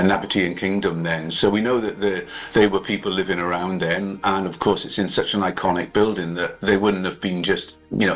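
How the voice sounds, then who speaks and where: A man's voice: low voice, a bit bright. A male professor in an unscripted radio interview, hesitant as he thinks his explanation through aloud.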